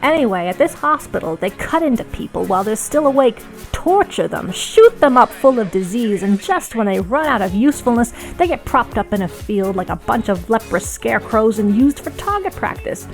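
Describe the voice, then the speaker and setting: A woman's voice: on the high side, lively intonation, normal speed, hardly any pauses, faint music behind, voice pushed up. A woman voicing a brash, smitten male rebel radio broadcaster in an audiobook, with theatrical, emphatic delivery. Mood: outraged.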